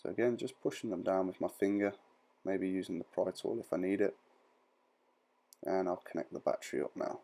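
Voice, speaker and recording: Low, creaky-voiced man giving instructions. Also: brisk, pauses often, mellow. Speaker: repair tutorial presenter. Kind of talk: informal step-by-step instructional voice-over.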